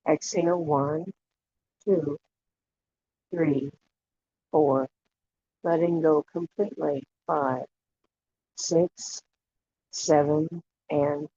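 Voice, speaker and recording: A guided imagery facilitator leading a relaxation meditation in a soothing, unhurried way, leaving long pauses between short phrases. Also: medium pitch, dark tone. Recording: dull lo-fi audio with clear background noise and clicks.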